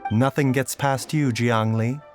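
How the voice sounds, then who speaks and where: A man's voice: mid-range voice, steady tone, a little slow, faint music behind, natural voice. A man playing a clever, composed physician in a video game, speaking politely and articulately. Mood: calm, courteous. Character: courteous, clever, composed adult male physician.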